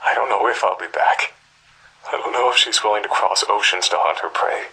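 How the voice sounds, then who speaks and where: A man's voice: fairly low pitch, brisk, bright, forceful tone, exaggerated voice, low-quality recording. An actor playing a haunted, fearful middle-aged journalist and father, recording a grave dramatic monologue on cassette tape. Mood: desperate farewell. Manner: grave, brooding, breathy half-whispers. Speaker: voice actor in a game's audio tapes.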